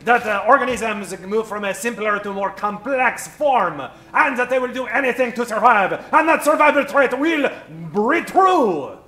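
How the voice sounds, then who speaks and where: A man playing a pompous, excitable doctor in a comic old-time radio play. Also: very high-pitched, normal speed, few pauses. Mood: earnest, explaining. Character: pompous, excitable male doctor with tiny mustache. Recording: roomy stage recording with music behind.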